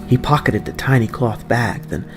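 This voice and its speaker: A man's voice: mid-range voice, normal speed. A man narrating an audiobook story in a dramatic, scripted reading style. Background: background music.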